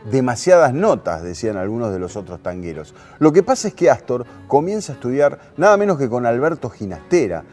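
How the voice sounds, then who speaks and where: A man's voice: mid-range voice, big pitch swings, a little fast, hardly any pauses, a little mellow. A man with an Argentine Spanish accent hosts a music programme, explaining things and sometimes searching for his words. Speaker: radio host and music programmer.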